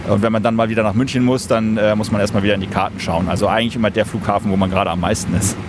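Man explaining over clear background noise. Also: fairly low pitch, steady tone, very fast. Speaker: airline cargo pilot. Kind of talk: interview answers, explanatory chat. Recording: clear vehicle-like ambient noise.

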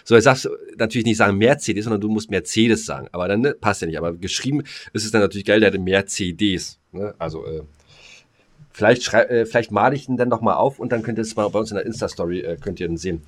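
A man's voice: on the low side, quick pace. A man co-hosting a casual podcast, joking in slangy speech, rambling and often interrupting himself. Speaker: podcast co-host.

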